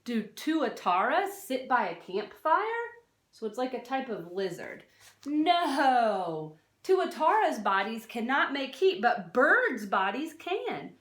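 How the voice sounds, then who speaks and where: A woman's voice: on the high side, big pitch swings, slow, a bit bright. A woman reading a non-fiction children's book aloud like a teacher, expressively, stressing key words and adding short explanatory asides. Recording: roomy, echoey sound.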